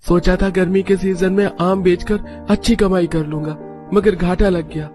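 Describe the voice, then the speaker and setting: A man's voice: sits high, steady tone, normal speed, darkish tone, faint music behind, pitched above the performer's own voice, natural voice. A man voicing a struggling street fruit and vegetable hawker in a family story. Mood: weary, dejected.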